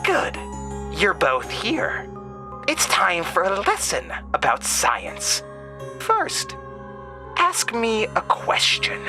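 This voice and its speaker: Monster schoolmistress played by a man: high, slow, mock-sweet, taunting. Horror audio drama with music beneath. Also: huge pitch swings, full character voice. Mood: mock-sweet menace.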